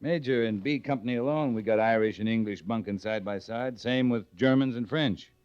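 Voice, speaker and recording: An actor playing a steady, self-assured frontier cavalry captain in an old radio western, laconic and dryly understated. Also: male voice, mid-range voice, a little fast, clear, resonant tone, no echo, close to an everyday voice. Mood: firm, wry. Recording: muffled vintage radio recording.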